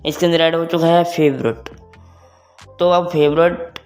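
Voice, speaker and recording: A man's voice: fairly high pitch, steady tone, a little slow, darkish tone. A young adult man gives a casual tech tutorial to online viewers, mixing English phrases into his talk. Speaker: tech video presenter. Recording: music behind the voice. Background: background music.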